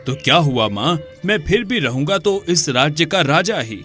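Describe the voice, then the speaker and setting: Male actor as proud king, bright forceful voice, middling pitch, storybook drama, music behind. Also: very animated, moderate pace. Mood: earnest, resolute. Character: proud male kings, one generous, one merciless. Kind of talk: dramatised Hindi moral story.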